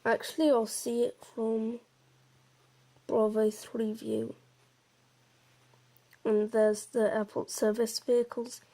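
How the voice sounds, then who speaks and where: A woman presents a casual game walkthrough in a fairly high, mellow voice. Her delivery is very slow and stays quite level. She explains informally, meandering and hesitating a little as she goes. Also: flat delivery. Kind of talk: casual gameplay commentary.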